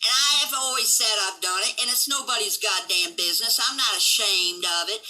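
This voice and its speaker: Brash seventy-year-old woman, forceful bright voice. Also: medium pitch, moderate pace, soft background music, poor audio. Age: 70s. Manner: brash, defiant, boastful; folksy, profane, ungrammatical speech. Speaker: livestream host. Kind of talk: unscripted solo rant to viewers.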